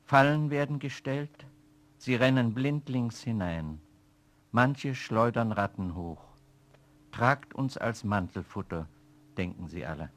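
Radio play reader, man, mid-pitched, calm and measured. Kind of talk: 1970s radio play narration.

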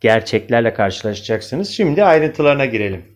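A man's voice: mid-range voice, big pitch swings, moderate pace. A man presenting informal video commentary, speaking directly to viewers in an opinionated, questioning way. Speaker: video host, amateur presenter.

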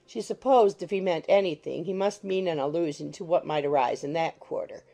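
Low, gravelly woman narrator. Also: a little fast, dark tone. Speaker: audiobook narrator. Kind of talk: scripted literary narration. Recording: music behind the voice.

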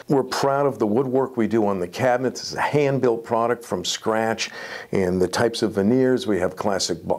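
A man's voice: lowish voice, a little mellow. A man in his sixties giving an informal product presentation to camera as a company presenter. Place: medium-sized indoor room with acoustic wall panels.

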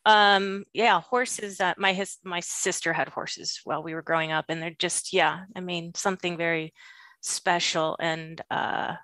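A middle-aged woman hosting an interview over a video call, asking gentle, measured questions. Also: fairly low pitch, steady tone, no echo. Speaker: podcast host. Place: home room with window. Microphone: video call, webcam mic.

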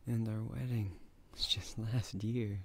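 Casting: man playing a loving, shy, nerdy young husband for ASMR roleplay; hushed, creaky, very unhurried delivery. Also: fairly low pitch, steady tone, full character voice. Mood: tender, reflective. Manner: soft, breathy, often half-whispered, unhurried. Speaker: voice actor in an audio roleplay. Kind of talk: intimate ASMR boyfriend roleplay.

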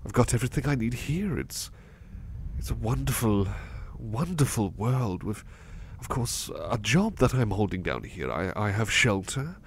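Casting: male actor as gentle sleepy servant for ASMR roleplay, low creaky voice, anxious, halting, devoted. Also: very animated. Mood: content, reassuring. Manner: hesitant, stammering, apologetic. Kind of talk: soft-spoken ASMR roleplay acting. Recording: faint background noise.

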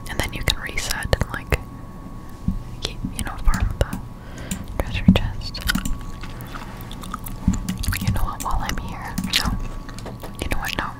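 Young woman, breathy whisper, close mic, ASMR gameplay commentary, musing and rambling. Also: a little slow, long pauses, poor audio. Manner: rambling, musing aloud to herself. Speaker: gameplay streamer. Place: indoors at a home computer. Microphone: close-up desktop condenser mic.

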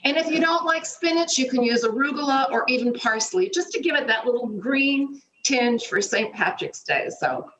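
Middle-aged female guest, chatty cooking demo, higher register, roomy kitchen echo. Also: animated, normal speed, few pauses, fairly bright tone, slight background noise. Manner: chatty, step-by-step instructional. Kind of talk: cooking demonstration on a morning show. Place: home kitchen, indoors.

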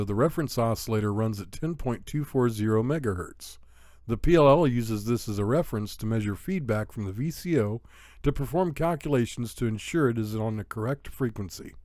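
A man's voice: medium pitch, a little mellow, no room sound, clean studio sound. A man reading scripted technical narration as an electronics tutorial narrator, in a methodical, matter-of-fact way.

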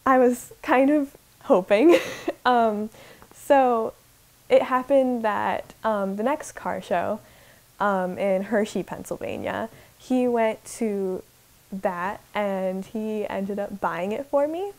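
A woman's voice: mid-range voice, takes it slowly, a little mellow. A sixteen-year-old American girl from Ohio telling her story casually and hesitantly in an interview. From Ohio, United States. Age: teenager, 16.

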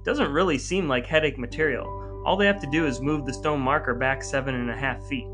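A man's voice: medium pitch, brisk, over music. A middle-aged American man hosting a podcast, speaking in a scripted, narrating style. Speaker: podcast host.

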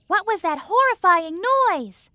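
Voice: very high voice, expressive, measured pace, sharp tone, no echo, full character voice. An actor voicing a sensible but nervous young animal in a children's cartoon, earnest and precise.

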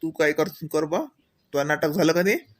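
A man's voice: fairly high pitch, fairly even intonation, moderate pace, dry recording, natural voice. A man voicing an easygoing young husband and father in a comedy cartoon, speaking casual, homely Marathi with a Varhadi accent from Vidarbha. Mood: relaxed. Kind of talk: colloquial domestic comedy cartoon dialogue.

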